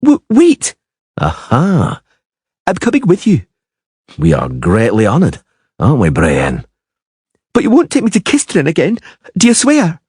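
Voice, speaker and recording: A man voicing a haughty, spoiled little princess girl who talks in a shrill, imperious, petulant way. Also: wildly swooping pitch, a little slow, long pauses, darkish tone, breathy edge, dry recording, clean studio sound, exaggerated voice. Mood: pleading.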